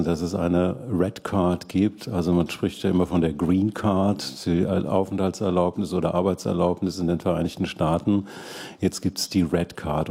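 A man's voice: deep voice, creaky, dark tone, husky. A man hosting an interview, asking questions in a casual, hesitant way while thinking aloud. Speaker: interviewer, host. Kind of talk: conversational interview.

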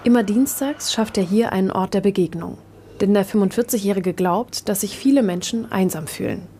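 A woman's voice: medium pitch, normal speed, warm tone, slight background noise. A woman reporter reads scripted narration for a radio reportage.

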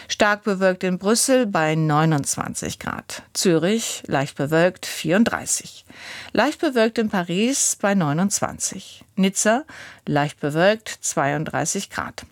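A woman reading out a radio weather report in a measured, even listing manner. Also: fairly low pitch, dry recording, clean studio sound. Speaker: newsreader.

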